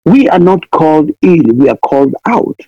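Man, fervent preaching, pleading repeats, highish voice, muffled dry recording. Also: big pitch swings, moderate pace, warm tone, no echo. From Kenya. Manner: impassioned, repeats pleas, wordplay. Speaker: missionary preacher guest. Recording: dull lo-fi dry sound.

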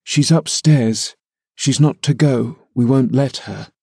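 A man's voice: mid-range voice, takes it slowly, dark tone, slightly rough, no room sound, studio quality. A man voicing a sickly, spoiled young man who sulks and whines. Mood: sulky. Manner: whiny, sulky.

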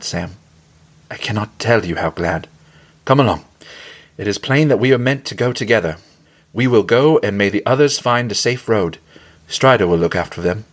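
A man voicing a gentle, weary gentleman master and reluctant hero, earnest and formally spoken, in a storybook fantasy reading. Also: fairly low pitch. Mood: resigned, glad. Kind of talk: audiobook-style fantasy storytelling.

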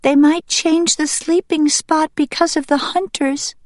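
Woman acting young male duckling, raised pitch, earnest, childlike, pleading, storybook character. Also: steady tone, unhurried, no echo, clean studio sound. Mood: tearful, pleading. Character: young male wild duck, plaintive, attached to his mother. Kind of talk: audiobook character voice.

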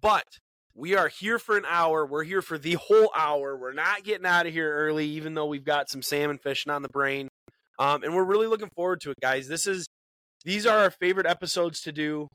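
A chatty, upbeat man hosts a casual podcast, his voice stuffed up from a cold. His tone is bright and forceful, and the sound is dry and close, with no room echo. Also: fairly high pitch, brisk. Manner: upbeat, chatty, repeats words as he talks. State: congested from a cold. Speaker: podcast co-host, bait shop owner. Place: small studio in a bait shop.

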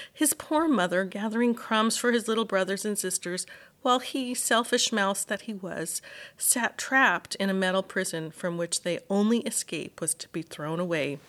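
A woman's voice: mid-range voice, sharp tone. A woman who teaches elementary school, reading a children's novel aloud as an audiobook narrator.

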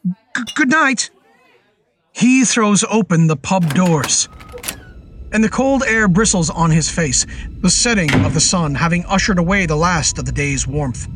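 A man narrating a scripted horror story in a deliberate, literary storytelling manner. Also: on the high side, animated, noisy background. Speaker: narrator and performer.

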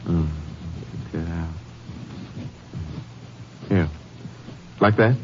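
An American actor playing an earnest, bereaved grown son in a 1950s radio drama, hesitant and polite. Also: male voice, deep voice, very slow, slight background noise, full character voice. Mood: subdued, helpful. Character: earnest, bereaved adult son, commercial artist. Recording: muffled old 1950s radio recording.

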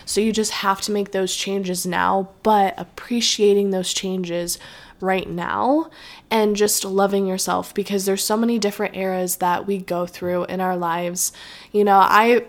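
A young woman talks through her solo podcast in a loose, rambling and reflective way. Her voice is a little nasal from allergies and sits at an ordinary middle pitch. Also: steady tone, unhurried. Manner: chatty, rambling, self-reflective. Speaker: podcast host. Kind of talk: casual solo podcast chat.

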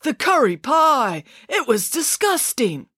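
A woman's voice: a little high, big pitch swings, moderate pace, dry recording, studio quality, pitched above the performer's own voice. A woman voicing a dim but affable, befuddled schoolboy who is unwell, in an audiobook. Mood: disgusted.

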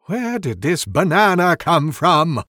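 A man voicing a jittery, easily panicked male wizard who talks in high, strained exclamations. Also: big pitch swings, measured pace, fairly bright tone, no echo, clean studio sound, full character voice.

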